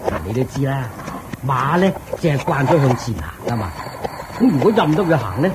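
A man's voice: mid-range voice, very animated, fairly quick, heavy background noise, natural voice. A man with a Hong Kong Cantonese accent playing a thrifty, sly royal chancellor in a radio drama, coaxing and explaining in a placating way. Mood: smug, explaining. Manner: chuckling, coaxing, explaining patiently.

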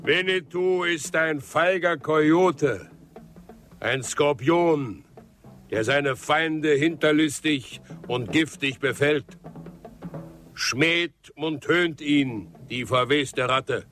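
A man's voice: on the high side, very slow, fairly bright tone. An actor playing a proud, scornful Comanche chief and father in solemn, declamatory radio drama oratory. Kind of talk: German radio drama acting. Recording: studio radio drama with drum music behind.

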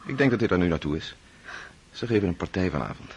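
An actor playing a thoughtful, kindly family friend in a radio play, speaking gently and probingly. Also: male voice, deep voice, animated, fast, husky, exaggerated voice.

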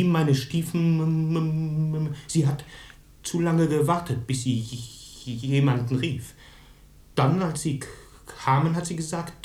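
A timid young man with a heavy stutter. He speaks slowly and haltingly in a dark, soft tone, a wounded outsider in a radio play with a roomy echo. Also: on the high side. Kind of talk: radio drama acting.

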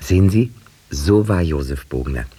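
A deep, lively-pitched male narrator, a village schoolteacher in a fifties radio play. He sets out every detail with care and dry irony, in a mellow, slightly husky voice. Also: full character voice. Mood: musing. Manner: measured, circumstantial, dryly ironic, precise. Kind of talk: 1950s German radio drama narration.

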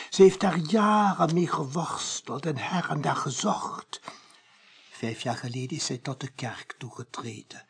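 Zealous priest, very husky, gravelly creak, halting, preachy, radio drama. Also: male voice, mid-range voice, heavily acted. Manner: halting, repeats words, turning vehement and preachy. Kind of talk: 1980s radio play acting.

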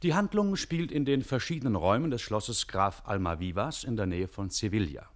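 Casting: male announcer for radio drama, lower register, very lively intonation, scripted narration. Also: brisk.

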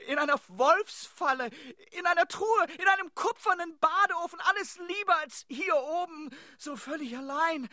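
A trapped despairing character, radio drama, bright high voice, dramatic literary lamenting. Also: female voice, normal speed, hardly any pauses, no room sound, studio quality. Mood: anguished lament. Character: despairing person trapped helplessly up on a ceiling. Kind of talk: German radio play acting.

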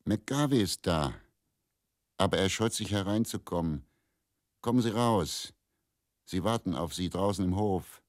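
A male actor voices an agitated man in a radio drama, pressing and blunt. The voice is low-pitched but jumps upward in urgency, and the delivery is hasty. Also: animated, measured pace, pauses often, no echo, clean studio sound. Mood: urgent.

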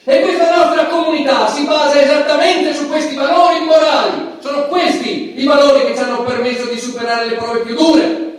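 Very high-pitched pompous judge. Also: male voice, steady tone, a little fast. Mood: preaching, indignant. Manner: pompous, insistent. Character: stern, indignant moralizing male judge. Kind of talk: theatrical courtroom declamation. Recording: very echoey theatre, distant mic.

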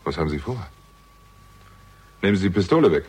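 A shrewd young man investigating a crime in a 1960s radio play. His voice is low and husky, with lively swings in intonation. He presses his points in a probing, wry way. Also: brisk, heavily acted. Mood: wary, dry. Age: young adult. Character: shrewd, persistent young amateur sleuth confronting a suspect. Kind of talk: 1960s German radio crime drama acting.

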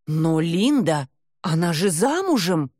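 Lady reader doing a naggy fretful mom in an audiobook, swoopy pitch, very dry sound. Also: big pitch swings, slow, a little mellow, dry recording, exaggerated voice. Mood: suspicious. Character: fussy, nagging mother of a grown daughter. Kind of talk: audiobook character dialogue.

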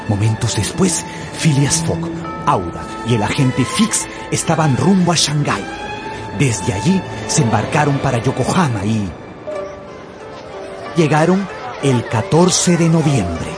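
A man narrates a radio drama in a theatrical, scripted style. His voice sits fairly high and moves in a lively way, with music underneath. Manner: storytelling, theatrical. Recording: music behind the voice.

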